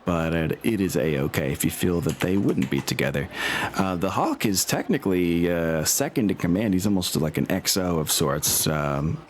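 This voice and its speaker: A man's voice: sits low, lively intonation, moderate pace, a little hiss or hum. A man narrating a tabletop role-playing game as game master, in an informal, improvised way.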